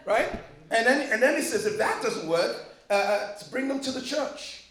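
A man's voice: very high voice, moderate pace, a bit bright, reverberant. A man from Liberia preaching a sermon as a pastor, emphatic and joking, with repeated phrases. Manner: emphatic preaching with humor and repetition.

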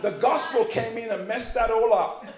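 A man's voice: extremely high pitch, moderate pace, echoey room, a loud crowd. A man from Liberia preaching a sermon as a pastor, emphatic and joking, with repeated phrases. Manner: emphatic preaching with humor and repetition.

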